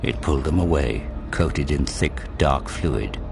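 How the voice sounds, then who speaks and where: A man's voice: very deep voice, expressive, measured pace, creaky. A man giving dramatic scripted narration for an audio drama, with music behind his voice.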